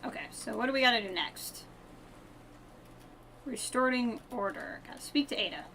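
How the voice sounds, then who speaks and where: A woman's voice: on the high side, animated, normal speed, pushed and bright, slight background noise. A woman giving casual live commentary as she streams a video game, chatting informally.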